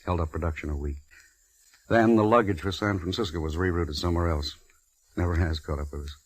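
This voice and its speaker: A very low, husky male voice as a smooth Hollywood star feeling sorry for himself. He speaks in a languid, flirtatious, brooding way, in the style of 1940s radio drama. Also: fairly quick. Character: smooth, self-pitying Hollywood actor and old flame.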